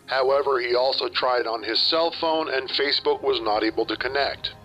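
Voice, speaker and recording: A man's voice: medium pitch, fairly bright tone. Male police dispatcher on a dull radio. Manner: matter-of-fact, measured. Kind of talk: formal radio dispatch reports. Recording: dull band-limited radio with music behind.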